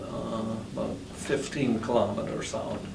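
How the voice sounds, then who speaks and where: An older man born in the Netherlands, with Dutch as his first language, recalling his past slowly with many pauses and fillers in an oral history interview. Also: on the low side, level tone, gravelly. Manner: slow, halting, many fillers. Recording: roomy, distant, noisy recording.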